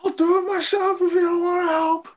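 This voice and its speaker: A man's voice: very high voice, little pitch movement, brisk, a crowd in the background, voice pushed up. A man playing Mario as a blunt, exasperated, money-minded plumber in an amateur script reading. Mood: mocking.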